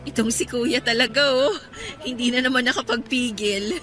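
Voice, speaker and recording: An actress plays a chatty younger sister who steps in protectively. Her voice is high and sharp, in Filipino radio romance style, with faint music underneath. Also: normal speed, lightly acted. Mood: anxious intervening.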